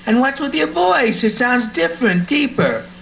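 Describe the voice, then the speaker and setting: Man doing a high-pitched, skeptical boy puppet. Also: very animated, moderate pace, vocal fry, heavily acted. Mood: disbelieving. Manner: incredulous, questioning. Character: Jimmy, skeptical high-voiced boy puppet, teasing best buddy. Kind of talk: amateur puppet show acting. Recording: muffled audio with faint background noise.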